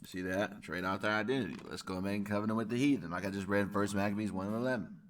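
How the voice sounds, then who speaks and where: A man's voice: fairly low pitch, steady tone, very fast, slight background noise. A man hosting a reaction video, reading old records and scripture aloud in casual commentary, emphatic and repeating key phrases with frequent "right?". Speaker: channel host reacting to a video.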